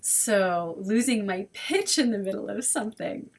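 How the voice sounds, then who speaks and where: A woman's voice: medium pitch, expressive, a little slow, gravelly. A woman who leads an online prayer service, making earnest, inviting announcements and listing ideas in long runs. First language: English. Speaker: program director and service leader.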